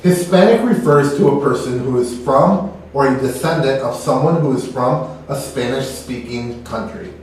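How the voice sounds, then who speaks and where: A man's voice: medium pitch, moderate pace, few pauses, a little mellow, slight background noise. A man from Texas co-hosting a school morning broadcast, reading in a friendly, plain way. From Texas, United States. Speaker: teacher co-hosting school announcements. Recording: echoey room, distant mic.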